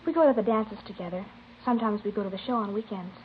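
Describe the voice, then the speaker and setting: A woman playing a guarded, tentative teenage high school girl in a naturalistic 1950s radio drama. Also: fast talker, dull audio, close to an everyday voice. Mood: guarded, casual. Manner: tentative, plain-spoken. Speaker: actor playing a witness. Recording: thin, noisy old radio recording.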